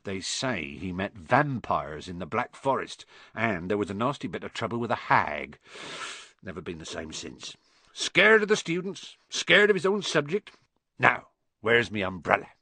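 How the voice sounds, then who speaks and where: A man's voice: lowish voice, sing-song, normal speed, sharp tone, no room sound, heavily acted. A man voicing a huge, friendly, rustic man with a hearty, blunt, colloquial way of talking in an audiobook. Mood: gossipy. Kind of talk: audiobook character acting.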